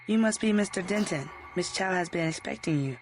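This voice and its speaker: A woman's voice: lowish voice, a little fast, a little hiss or hum, lightly acted. A woman playing a polite house servant in a video game, with a formal, deferential manner.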